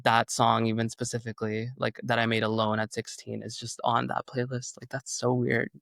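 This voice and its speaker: A man's voice: medium pitch, flat delivery, moderate pace, creaky, fairly bright tone, no room sound, clean studio sound. A young man, a singer whose first language is Urdu, talking in a rambling casual way with many fillers. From Canada. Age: young adult. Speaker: singer-songwriter guest. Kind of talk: casual interview chat.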